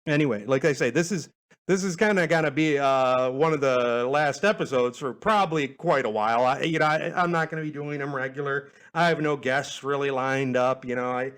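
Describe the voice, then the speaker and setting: A man's voice: mid-range voice, a little fast, fairly bright tone, clean tone. A man hosting a podcast in rambling casual chat, self-deprecating and prone to swearing. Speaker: podcast host.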